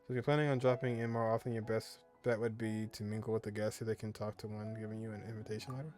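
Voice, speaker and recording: A man's voice: fairly low pitch, level tone, fast talker, darkish tone, soft background music. A man doing casual commentary while he plays a video game, sometimes reading dialogue aloud. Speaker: gamer playing and reading aloud.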